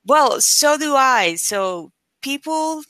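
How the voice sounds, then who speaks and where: A woman whose first language is Spanish, a guest on a live video call chatting casually about her work, with lots of "like" fillers and lively retelling. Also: high-pitched, slow pace, no echo, studio quality. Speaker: guest, affiliate marketer. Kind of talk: casual interview chat.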